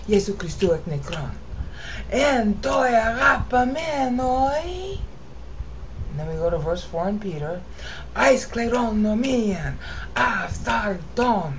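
A woman teaching informally, explaining and chanting Greek verse in a drawn-out sing-song way. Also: medium pitch. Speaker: amateur Bible teacher. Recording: muffled lo-fi recording with background noise.

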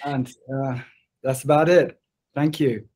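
A man's voice: medium pitch, fairly even intonation, slow, a little mellow. A British man in his sixties, raised in Zimbabwe, talking conversationally as an interview guest on a video call from an office. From born in England, grew up in Zimbabwe. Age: 60s. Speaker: interview guest, production designer. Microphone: computer mic at desk distance on a video call.

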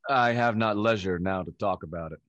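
A man playing a smooth-talking rake and suitor in a classical stage comedy, courtly in manner. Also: fairly low pitch, fairly quick, fairly bright tone, dry recording, natural voice. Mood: curt, dry. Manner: courtly, ardent. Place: indoors at home, on a video call. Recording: slightly dull video-call audio.

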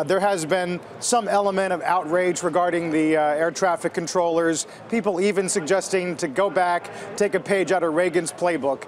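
A man anchoring a live news interview, framing questions in a halting way with repeated words. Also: high voice.

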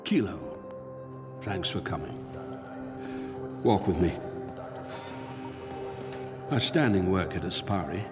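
A calculating middle-aged executive in a science-fiction game, with a lowish, authoritative voice. He speaks in clipped, commanding phrases. The sound rings with long reverb, and music plays behind him. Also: animated, slow pace, pauses often. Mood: measured, confiding. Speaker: corporate executive. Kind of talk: video game voice acting.

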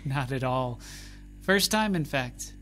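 Audio drama traveller played by a man: easygoing musician, friendly and natural, soft music underneath. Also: medium pitch, expressive, slow pace. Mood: easygoing, flirty. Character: easygoing travelling male musician who tracks birds. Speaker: actor.

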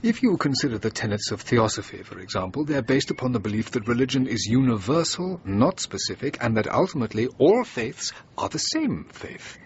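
Actor as an eloquent architect, muffled recording. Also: male voice, expressive, a little fast, hardly any pauses, close to an everyday voice. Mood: earnest, dry. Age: middle-aged. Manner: eloquent, urbane, formal phrasing. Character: witty, idealistic middle-aged male English architect of the 1920s. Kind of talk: radio drama acting. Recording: muffled radio recording with rumbling noise.

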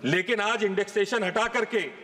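A man's voice: high-pitched, normal speed, pushed and bright. A man in his thirties giving an emphatic parliamentary speech full of rhetorical questions, speaking into a desk microphone in a large chamber. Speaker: member of parliament. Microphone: fixed desk microphone. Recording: long hall reverb from chamber.

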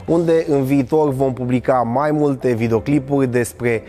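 A man's voice: medium pitch, steady tone, warm tone, faint music behind. A man in his thirties presenting and demonstrating a product in a large warehouse, upbeat and scripted. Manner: upbeat, demonstrating while walking and working.

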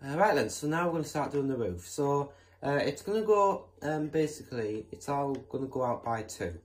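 Guy rambling over his game build, lots of ums and restarts, voice bounces all over. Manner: rambling, chatty, many fillers and restarts; bursts into excited high exclamations. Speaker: gameplay channel host. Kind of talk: casual game commentary while building.

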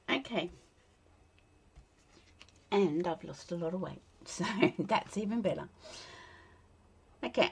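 An Australian woman with a tired, croaky voice chatting casually and laughing as she demonstrates card making at her craft desk. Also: medium pitch. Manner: chatty, laughs often, mutters to herself while working. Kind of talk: casual crafting tutorial chat. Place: indoor craft desk, overhead camera.

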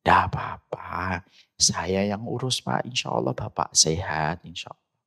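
A man's voice: sits low, a little slow, creaky, bright, forceful tone, husky. A middle-aged man with a Javanese accent preaching in a conversational way, speaking directly to his listeners as he tells and explains. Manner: conversational, addresses listeners directly with frequent asides. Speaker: Islamic preacher. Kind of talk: sermon with personal storytelling.

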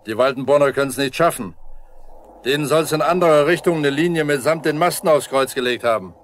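An actor playing a stout, weary, stubborn older brigade leader with gruff colloquial speech in a 1970s East German radio drama. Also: male voice, a little high, brisk, close to an everyday voice. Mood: commanding. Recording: noisy 1970s vinyl radio play.

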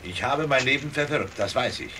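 An actor playing a proud, defiant courier hero, declaiming intensely in a radio play. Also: male voice, mid-range voice, lively intonation, brisk, close to an everyday voice. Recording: faint background noise.

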